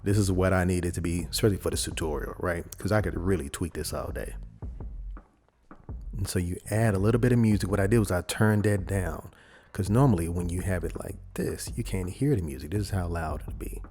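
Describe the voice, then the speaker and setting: A man presenting a casual software tutorial, explaining informally and thinking aloud as he goes. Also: sits low, brisk. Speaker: audio editing tutorial presenter.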